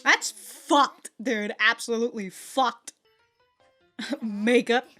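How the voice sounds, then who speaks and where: A woman's voice: a little high, drawn-out words, sharp tone, soft background music. A woman giving casual, slangy reaction commentary with plenty of swearing and exclamations. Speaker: reaction video host. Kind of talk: casual reaction commentary to an anime, reading subtitles aloud.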